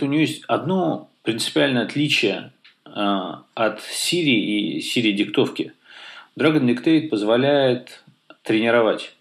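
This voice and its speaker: A man co-hosting a casual tech podcast, talking with many fillers and restarts. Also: medium pitch. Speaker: podcast co-host.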